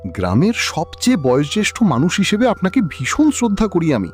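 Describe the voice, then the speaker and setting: Man acting proud young heir, wildly swinging pitch. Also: wildly swooping pitch, a little fast, soft background music. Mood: puzzled. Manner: theatrical, emphatic, questioning. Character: proud, insistent young landlord's heir in love. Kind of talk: dramatic cartoon voice acting.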